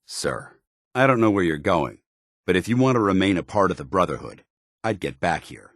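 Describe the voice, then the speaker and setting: An actor playing a stern, honor-bound soldier in a video game, speaking in a formal, commanding and earnest military way. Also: male voice, sits low, lively intonation, normal speed, no room sound, clean studio sound. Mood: indignant, stern. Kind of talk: video game companion dialogue.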